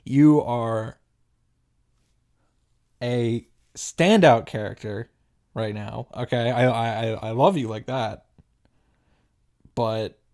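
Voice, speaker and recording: A man's voice: mid-range voice, slow, long pauses, a little mellow. A man reading a visual novel aloud and reacting casually, often restarting and repeating himself as he goes.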